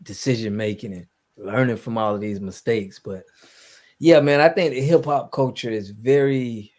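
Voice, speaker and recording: A man's voice: medium pitch, normal speed, a little mellow. A man in his thirties co-hosting a commentary show, talking informally and checking for agreement, on a headset from a room at home. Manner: conversational, checks for agreement with "right" and "you get what I mean". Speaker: co-host of a news commentary show. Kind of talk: casual unscripted chat. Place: home room on a video call.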